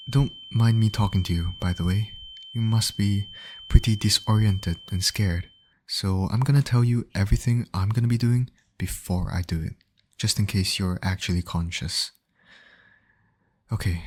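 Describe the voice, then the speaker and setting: A man acting as a calm, caring medic in an ASMR roleplay, gently reassuring a patient and explaining each step. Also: lowish voice. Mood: calm, focused. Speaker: voice actor in roleplay audio.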